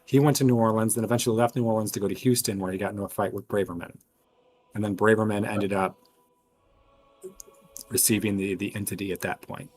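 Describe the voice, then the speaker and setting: A man with a husky voice casually discussing clues in a tabletop role-playing game. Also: fairly low pitch, steady tone, fast talker, pauses often, a little mellow, a little hiss or hum.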